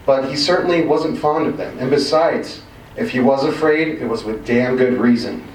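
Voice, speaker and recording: A man with a medium-pitched voice performs a literary short story. His delivery is expressive, measured and wryly emphatic. The sound is roomy, with some faint noise behind him. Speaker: reader of a short story at a live reading. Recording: roomy, distant mic, faint background noise.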